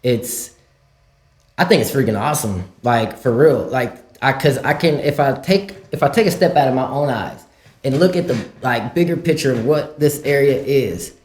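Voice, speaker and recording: A man's voice: mid-range voice, lively intonation, normal speed, a little mellow, roomy sound. A man from Louisiana and Mexico with a mixed accent, a podcast guest telling stories in loose casual talk full of "like"s and restarts. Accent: Louisiana-Mexican mix. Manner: loose storyteller, many "like"s and restarts. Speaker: guest, skateboarder.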